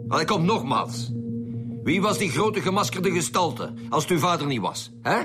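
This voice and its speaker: A man's voice: on the high side, sing-song, normal speed, fairly bright tone. A man with a Flemish accent acting a blunt, sarcastic, insistent chief detective in a radio drama. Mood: pressing, exasperated. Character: blunt, pressing male chief detective interrogating suspects. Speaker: lead police investigator. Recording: music behind the voice.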